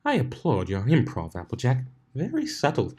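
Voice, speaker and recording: A man voicing a sly, theatrical, refined female unicorn in a story reading, speaking in an affected, flattering way. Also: medium pitch, normal speed, breathy edge. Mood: smug.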